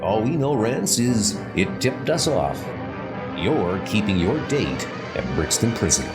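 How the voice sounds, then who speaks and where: Guy doing a stern mocking cop, slow and firm, horror comic dub, music behind. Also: lowish voice, very animated. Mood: smug. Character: wry, no-nonsense male lawman catching convicts.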